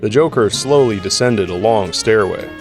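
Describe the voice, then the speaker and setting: A man's voice: fairly low pitch, moderate pace. A man reading scripted, dramatic narration for an audio drama. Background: music score.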